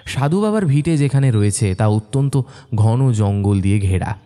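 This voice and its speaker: A man narrating a scripted horror story in a measured, suspenseful, dramatic manner. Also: lowish voice, animated. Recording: soft music bed behind narration.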